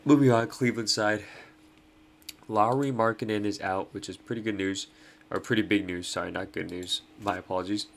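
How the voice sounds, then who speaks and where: Young adult male host, nasal and stuffed up, low-pitched, loose casual delivery. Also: fairly even intonation, brisk, vocal fry, a little hiss or hum. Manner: laid-back, many ums and uhs. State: nasal from allergies. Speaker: fantasy sports host. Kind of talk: casual picks breakdown.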